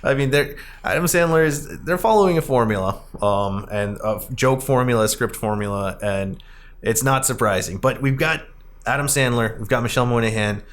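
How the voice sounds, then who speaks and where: Male podcast host, mid-pitched voice, loose and animated conversational delivery, rambling through film talk. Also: normal speed. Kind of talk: casual movie discussion podcast.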